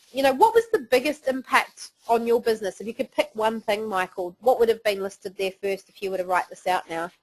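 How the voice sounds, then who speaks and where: A woman's voice: mid-range voice, low-quality recording. Chatty New Zealand woman presenting. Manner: chatty, encouraging, with frequent fillers. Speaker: webinar presenter. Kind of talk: presenting a business webinar.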